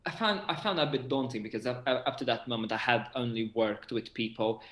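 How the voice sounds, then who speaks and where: A man's voice: medium pitch, brisk, fairly bright tone. A young man, talking casually and with effusive enthusiasm as an interview guest on a video call. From Portugal. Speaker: guest, theatre director and actor. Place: indoor room, video call. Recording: echoey room over video call.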